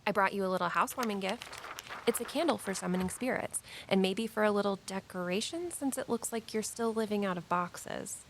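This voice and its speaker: Creaky-voiced breezy young mystic woman played by an actress. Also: mid-range voice, fairly quick, a little hiss or hum, natural voice, poor audio. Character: Avery, breezy mystical shop worker, exasperated daughter.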